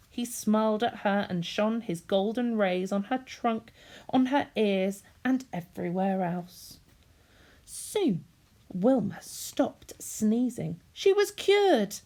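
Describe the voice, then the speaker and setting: A woman's voice: medium pitch, darkish tone. A woman reading a children's story expressively, in the manner of a teacher speaking to young listeners.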